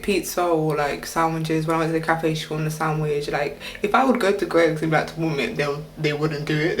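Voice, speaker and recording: Young vlogger, mid-pitched, casual and rambling, recorded with noticeable room echo. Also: slight background noise. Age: young adult. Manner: rambling, chatty, full of "like" fillers and quick laughs. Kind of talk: casual vlog chat. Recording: roomy echo.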